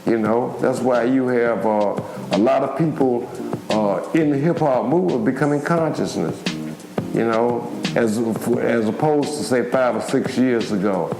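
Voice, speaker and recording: An older man with an American accent reflects in a documentary interview, speaking haltingly, repeating himself and searching for words. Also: medium pitch, gravelly, roomy sound, over music, low-quality recording. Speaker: former activist giving a firsthand account.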